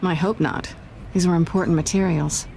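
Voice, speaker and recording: A woman playing a sly, flirtatious, self-assured thief in a video game, with a teasing, sultry, deliberate delivery. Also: on the low side, steady tone, creaky, a little mellow, slight background noise. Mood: guarded, wry.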